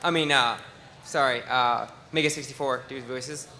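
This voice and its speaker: Halting, bright-voiced man asking questions. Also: medium pitch, steady tone, slight background noise. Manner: hesitant, halting. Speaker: audience member asking questions. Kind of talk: casual unscripted question at a panel.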